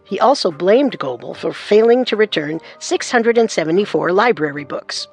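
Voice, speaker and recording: Female narrator, medium pitch, scripted true crime, composed, deliberate, faint music bed. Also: lively intonation, breathy edge. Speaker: narrator, co-host.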